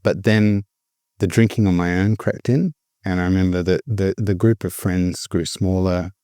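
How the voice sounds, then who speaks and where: A man's voice: sits low, fairly even intonation, normal speed, warm tone, clean tone, dry recording, studio quality. A middle-aged man, candidly telling his personal recovery story in a reflective way. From born in the Philippines, raised abroad. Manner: reflective, candid, with frequent restarts. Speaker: guest sharing recovery story.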